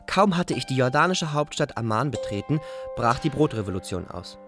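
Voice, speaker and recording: A man's voice: medium pitch, very animated, brisk, over music, lightly acted. A man playing a self-blaming, fatalistic young refugee who narrates his wanderings in a literary radio drama. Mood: matter-of-fact.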